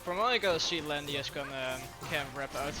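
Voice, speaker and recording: A man with a Dutch accent giving live color commentary on a match, excitable and often restarting his words. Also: a little high, a little fast. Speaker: esports co-commentator. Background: game music.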